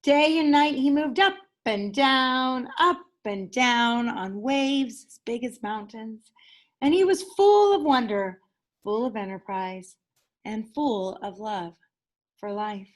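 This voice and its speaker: A woman with a fairly high voice reads a picture book to children. Her delivery is enthusiastic and expressive, placing dramatic emphasis on key words in the manner of a teacher at story time. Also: slow.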